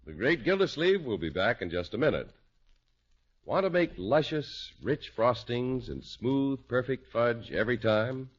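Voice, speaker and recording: A man's voice: mid-range voice, slightly rough. An American man announcing a 1950s radio commercial with a polished, emphatic sales delivery. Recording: muffled 1950s radio recording.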